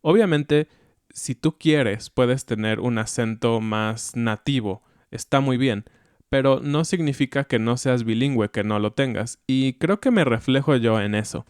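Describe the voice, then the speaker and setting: A Mexican-accented man talks through ideas calmly and thoughtfully, like a teacher chatting on a podcast. His voice is smooth and clear, recorded close and dry with no room sound. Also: medium pitch, measured pace, no room sound. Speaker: podcast co-host. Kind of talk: educational podcast chat.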